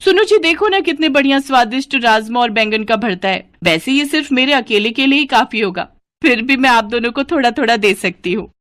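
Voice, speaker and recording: A woman's voice: a little high, expressive, brisk, fairly bright tone, dry recording, clean studio sound. A woman voicing a carefree, defiant, food-obsessed young daughter-in-law in a cartoon moral story, with a whiny, self-indulgent manner. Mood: gleeful.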